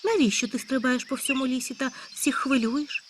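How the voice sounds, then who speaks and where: A woman voicing a calm, hardworking male hedgehog in a storybook tale, speaking matter-of-factly. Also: sits high, a little fast, background noise, voice pushed up, lightly acted. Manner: calm, matter-of-fact, scolding when cross. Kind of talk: storybook character voice.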